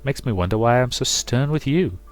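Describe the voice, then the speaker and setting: A man voicing a stern, weary, bookish gentleman in a visual novel, measured, curt and reserved. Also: medium pitch, expressive, faint music behind, lightly acted. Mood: stern, subdued.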